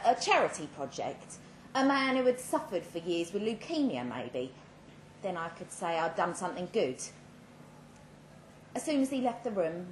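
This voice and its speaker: A woman giving a measured, dramatic reading of a first-person short story at a live event, with pointed pauses. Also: fairly low pitch. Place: indoor live literary event. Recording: roomy live venue, clear background noise.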